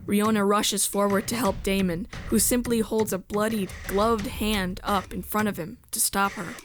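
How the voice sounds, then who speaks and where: A woman's voice: medium pitch, fairly even intonation, normal speed, slight background noise, close to an everyday voice. A woman playing a sensitive, resolute outsider who narrates a space drama in the first person. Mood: earnest, tense.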